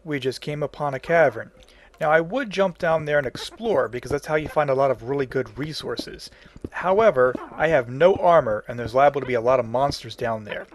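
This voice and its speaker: A man's voice: mid-range voice, animated, a little fast, slight background noise. A man hosting a casual video game tutorial, explaining each step in plain, folksy wording. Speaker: tutorial host.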